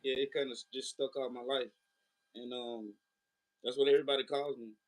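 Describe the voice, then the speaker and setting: A man's voice: mid-range voice, flat delivery, brisk. A man hosting an interview over a phone call, laid-back and slangy, with many fillers. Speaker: interview host.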